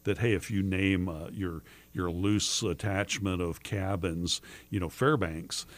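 Man, very low voice, a bit hoarse, chatty and halting, lots of filler words. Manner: rambling, halting with many fillers and restarts. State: hoarse, losing his voice. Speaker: distillery owner. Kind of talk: casual interview talk.

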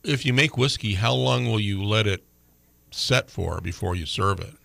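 Gravelly man asking relaxed questions. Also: on the low side, normal speed, no room sound. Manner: relaxed and chatty, adding short remarks and asides. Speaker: interviewer. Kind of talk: casual interview talk.

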